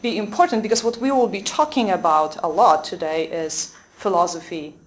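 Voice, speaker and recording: A Dominican sister with a Polish accent giving a talk to a small audience in a convent room, hesitant with many fillers and restarts. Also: female voice, fairly low pitch, normal speed, dull audio. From Poland.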